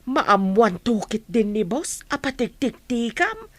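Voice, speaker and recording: High-voiced, suffering lady boss. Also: very animated, takes it slowly, dry recording, exaggerated voice. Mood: worried. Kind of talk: Philippine radio drama acting.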